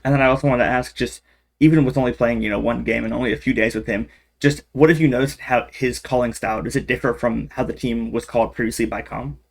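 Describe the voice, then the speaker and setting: A man's voice: medium pitch, fairly even intonation, quick pace, creaky. A man hosting an esports interview, asking questions in a casual, upbeat way.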